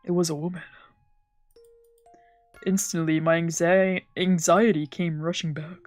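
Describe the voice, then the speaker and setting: A woman streamer reading a visual novel aloud in expressive, dramatic narration with casual asides. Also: lowish voice, measured pace, no echo. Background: game background music.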